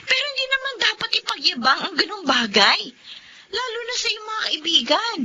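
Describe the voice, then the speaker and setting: A woman's voice: very high voice, sing-song, normal speed, crowd noise. A woman with a Filipino accent playing a modest, touchy wife who complains plaintively in a radio drama. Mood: aggrieved, plaintive. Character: modest, touchy young wife, resentful of show-offs. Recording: dull lo-fi audio with faint noise.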